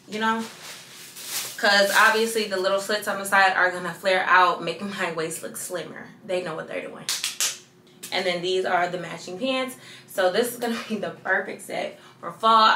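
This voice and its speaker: A young woman in her twenties chatting casually through a clothing haul, lively and loose, dipping into soft asides. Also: on the low side, echoey room, a little hiss or hum. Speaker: fashion vlogger. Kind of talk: casual try-on haul chat.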